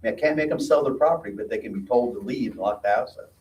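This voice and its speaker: A man's voice: mid-range voice, racing, darkish tone, slight background noise. Plainspoken man in a roomy meeting hall. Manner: plainspoken, reassuring, gives practical advice. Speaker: association board member answering residents. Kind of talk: community meeting discussion. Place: meeting room. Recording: roomy hall audio from a distant mic.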